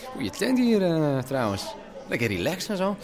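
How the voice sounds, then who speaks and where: Cocky, animated big spender. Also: male voice, a little high, measured pace, a crowd in the background. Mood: smug, relaxed. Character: cocky, laid-back, free-spending bar customer. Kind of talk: radio drama acting.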